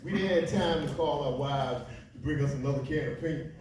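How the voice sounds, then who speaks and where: A man's voice: on the high side, fairly quick, distant mic, over a noisy crowd. Seventy-year-old man joking in an echoey hall. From Philadelphia. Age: 70s. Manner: joking, reminiscing, laughs often. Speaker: panelist. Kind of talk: panel storytelling. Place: large library event room. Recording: echoey hall with audience, recorded from afar.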